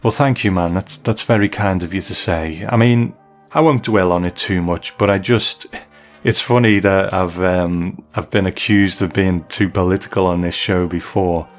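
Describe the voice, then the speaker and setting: A man's voice: deep voice, moderate pace, faint music behind. A man hosting a podcast, reading out listener mail and replying in a casual way. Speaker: podcast host.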